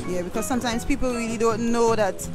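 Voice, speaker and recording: A woman's voice: a little high, fast talker, music in the background. A woman with a Trinidad and Tobago accent, interviewing people outdoors for her vlog in a friendly, encouraging way. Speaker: vlogger interviewer. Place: beach park outdoors.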